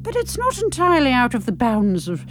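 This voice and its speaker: A woman playing a grumpy, impatient, sardonic older woman, telling her story in the first person in a wry, expressive way. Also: a little high, a little fast, slight background noise.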